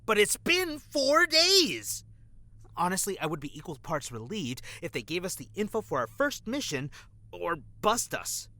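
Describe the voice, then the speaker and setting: An actor playing an anxious young undercover agent, a former street punk, in an audio drama with an edgy delivery. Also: male voice, high voice, big pitch swings, a little slow, bright, forceful tone, full character voice. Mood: anxious, frustrated.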